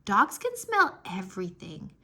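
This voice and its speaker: A woman reading a children's storybook aloud as narrator, expressively, with animated, childlike first-person storytelling. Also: medium pitch, unhurried, breathy edge.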